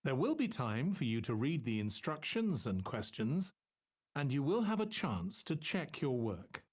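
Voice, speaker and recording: Guy reading test instructions, kinda husky, pitch moves around, measured announcer style. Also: sing-song, dull audio. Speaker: exam narrator.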